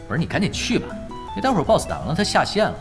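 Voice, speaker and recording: Chill teasing gamer dude in an audio drama, super lively intonation, music playing behind. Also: male voice, on the high side, very fast. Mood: glib, mock-serious. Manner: glib, teasing, mock-serious. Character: laid-back teasing male gaming veteran coaching his boss. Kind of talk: Chinese audio drama acting.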